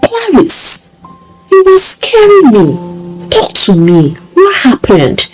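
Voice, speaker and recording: A woman voicing a caring, frank young woman, a sisterly friend in a story, with a familiar and animated manner. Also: extremely high pitch, slow, voice pushed up, heavily acted, low-quality recording. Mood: worried. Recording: music behind the voice.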